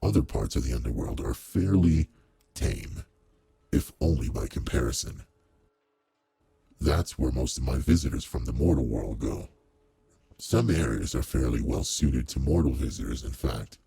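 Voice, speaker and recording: A man voicing a suave, teasing aristocratic demon lord in an intimate roleplay audio drama, with a deep, gravelly, husky voice and a leisurely manner. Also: lively intonation, normal speed, mellow, no room sound, heavily acted. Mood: reassuring.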